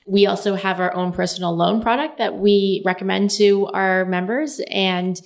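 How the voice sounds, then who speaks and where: A woman in her forties, hoarse, answering interview questions in a measured, explanatory way. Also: medium pitch, steady tone. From Canada. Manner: measured, explanatory, laughs easily. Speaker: guest, startup co-founder.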